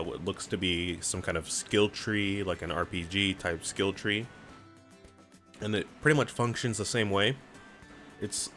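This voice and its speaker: A man with a deep, low voice talks through a game tutorial in an easygoing, explanatory way. His voice is a little raspy. Faint game music plays behind him. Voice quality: raspy, clears throat often. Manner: relaxed, explanatory, reads on-screen prompts aloud. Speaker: gaming streamer. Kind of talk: casual let's-play commentary.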